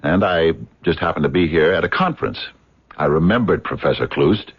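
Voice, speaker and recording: An actor playing an earnest, courteous American physicist in a radio mystery, speaking in a hesitant, wordy, stammering way. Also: male voice, extremely low pitch, animated, fairly quick, breathy edge, full character voice. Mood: eager, courteous. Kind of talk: radio drama acting. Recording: dull, muffled radio drama recording.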